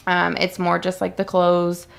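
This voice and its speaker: A woman's voice: on the low side, monotone, measured pace, gravelly. A woman from a family resource center answering questions on a radio show in a practical, matter-of-fact way. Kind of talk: casual radio interview answers.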